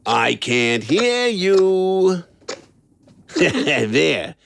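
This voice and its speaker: An unhinged, vengeful man, drawls in a gravelly, highish voice that swings widely in pitch. He is sardonic and cruel, in a horror audio drama with faint noise. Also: big pitch swings, drawn-out words, fairly bright tone, heavily acted. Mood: vengeful gloating. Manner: sardonic, erratic swoops.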